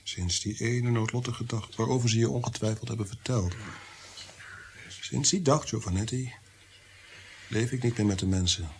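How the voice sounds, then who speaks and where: An actor in a radio play playing a tormented, bitter, God-fearing prince-composer, brooding and theatrical, sometimes sinking to whispers. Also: male voice, mid-range voice, moderate pace, pauses often, slight background noise, natural voice. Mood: brooding, anguished.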